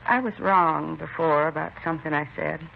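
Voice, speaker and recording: An actress playing a dazed, wistful wife of a murdered man, halting and detached, in a 1950s radio drama. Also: sits low, vocal fry. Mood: dazed, polite. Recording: noisy, muffled old radio recording.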